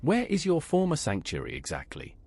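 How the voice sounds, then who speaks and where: A man's voice: mid-range voice, huge pitch swings, brisk, creaky, a little mellow, breathy edge, soft background music. A man reading short scripted questions as the player character in a video game.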